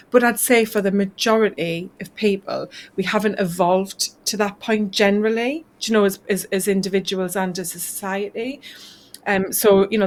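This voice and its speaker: A woman's voice: medium pitch, slight background noise. A woman from northeast England with a Northeast English accent, a health coach guest speaking earnestly and thoughtfully on a video call, often saying "you know". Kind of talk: casual video call interview. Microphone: video call mic.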